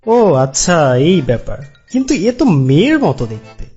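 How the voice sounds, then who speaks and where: A teasing male cartoon sidekick played by a man, with a medium pitch that swings widely. He interrupts skeptically, then humours the storyteller. Clear music sits behind his slightly dull, lo-fi sound. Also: sing-song, moderate pace. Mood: teasing, puzzled. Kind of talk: animated cartoon dialogue. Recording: dull lo-fi audio under loud music.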